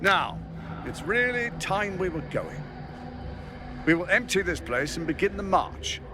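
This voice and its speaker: A man's voice: sits high, very animated, a little slow, music in the background. An actor playing a smug, scheming male noble villain in a video game cutscene, sarcastic and condescending. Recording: game audio with loud score.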